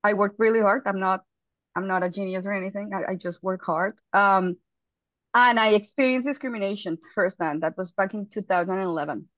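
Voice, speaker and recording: A woman's voice: medium pitch, a bit bright, no echo. Woman with a Colombian accent on a headset. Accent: Colombian Spanish. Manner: earnest, quick storytelling with restarts. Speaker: startup founder and CEO. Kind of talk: webinar panel talk. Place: home office, indoors. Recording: dry, lo-fi video call audio.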